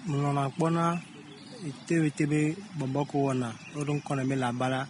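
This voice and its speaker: A man answering a street interview calmly and steadily. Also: fairly high pitch, normal speed. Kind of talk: vox pop answer. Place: street outdoors. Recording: noisy outdoor street recording.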